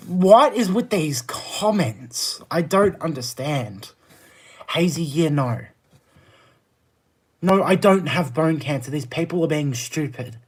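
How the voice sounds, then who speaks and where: A man's voice: a little high, expressive, slow pace, slightly rough. A man with an Australian accent chatting casually on a livestream, answering viewer comments in a blunt, exasperated, emphatic way. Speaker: live streamer.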